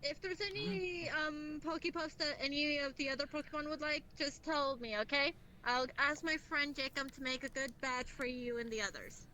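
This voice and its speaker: Woman with a high bright voice, chatting in a roleplay game, some noise on the mic. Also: moderate pace, hardly any pauses. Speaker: roleplay player. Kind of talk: casual in-character roleplay chat with narrated actions. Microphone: voice chat in a virtual reality game. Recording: noisy online voice chat.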